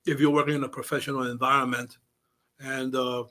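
An older man from New York chats in a radio studio, his voice mid-pitched and unremarkable. He talks slowly through his thoughts, pausing on "uh" as he hunts for the right word. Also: flat delivery, bright, forceful tone. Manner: short agreeing replies, searches for words. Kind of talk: casual radio chat.

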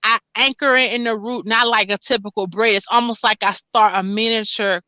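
A woman with an American accent hosts a casual chat in a high, bright voice. She talks informally and folksily, rambling from point to point, and the sound is close and dry. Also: fairly even intonation, fairly quick, clear, resonant tone, no room sound. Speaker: host, hairdresser.

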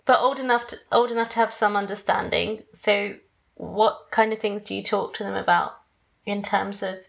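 A British woman hosting a conversational interview, gentle and affirming, with frequent soft murmurs of agreement. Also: medium pitch, fairly even intonation, moderate pace, gravelly. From Britain. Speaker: podcast host.

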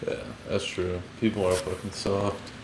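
Joking low-voiced man from Cuba. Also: little pitch movement, a little slow, darkish tone. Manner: joking, laughs often, crude. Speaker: podcast co-host. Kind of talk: casual chat. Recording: echoey room with faint background noise.

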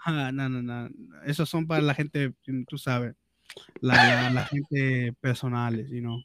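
A man's voice: mid-range voice, fairly even intonation, a little slow, heavy background noise. A man chatting casually as a podcast guest, slangy and jokey, peppering his Spanish with English fillers. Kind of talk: casual bilingual chat.

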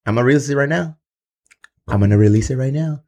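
A man's voice: medium pitch, fast, mellow, lo-fi sound. A man in his thirties hosting a podcast, joking and swearing in casual banter and dropping into Spanish phrases. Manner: profane, joking, slips into Spanish. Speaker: podcast host.

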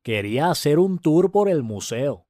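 A man's voice: a little high, sing-song, a little slow, dark tone, no room sound, clean studio sound, voice pushed up. A man voicing a polite, thoughtful male museum visitor in a storybook reading. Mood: polite, friendly.

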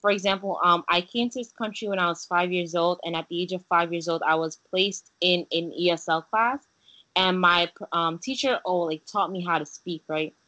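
A woman's voice: fairly low pitch, moderate pace, sharp tone, poor audio. A young woman from Haiti, a guest in a casual interview, talking earnestly with many fillers and restarts. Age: young adult.

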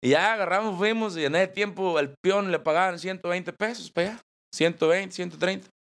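A man's voice: sits high, brisk, fairly bright tone. A man in his twenties with a Mexican accent, a banda singer telling stories as the guest in a casual interview. He jokes, laughs and uses slang. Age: 20s. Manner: laughing, joking storyteller, slangy.

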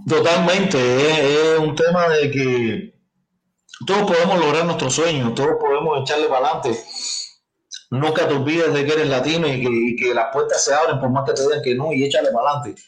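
Male Cuban urban-music guest, higher-than-average pitch, colloquial chat with frequent check-in tags, recorded in an echoey room. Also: normal speed. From Cuba. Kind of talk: casual interview chat.

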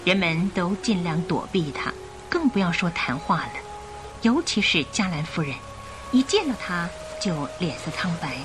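A woman narrating a Chinese radio drama in a scripted, expressive storytelling style. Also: fairly low pitch, normal speed. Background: music.

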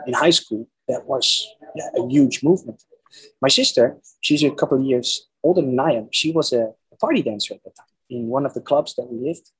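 A man's voice: mid-range voice, husky, poor audio. A man in his forties with a Dutch accent, speaking English as a second language, casually telling personal stories as an interview guest and often repeating words as he searches for them. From Netherlands. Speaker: interview guest recounting his musical past.

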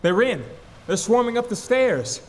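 An actor playing a fragile, panicky lighthouse keeper with faltering delivery in a radio drama. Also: male voice, extremely high pitch, big pitch swings, unhurried, exaggerated voice. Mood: alarmed, urgent. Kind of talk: amateur radio drama table read.

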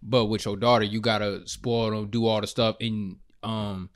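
A man co-hosting a casual podcast, talking in quick slang with many fillers. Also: fairly low pitch, little pitch movement. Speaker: podcast co-host. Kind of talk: casual group chat.

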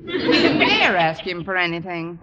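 A woman playing a dry-witted schoolteacher who narrates and trades deadpan wisecracks in a 1950s radio sitcom. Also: on the low side, unhurried, crowd noise, noisy background. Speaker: English teacher and narrator. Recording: dull old radio broadcast recording.

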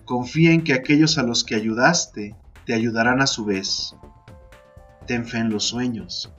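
A man narrating a literary fantasy poem as a podcast host, in a measured, deliberate storytelling style. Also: mid-range voice, fairly bright tone, dull audio. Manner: measured, deliberate, second-person storytelling delivery. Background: music.

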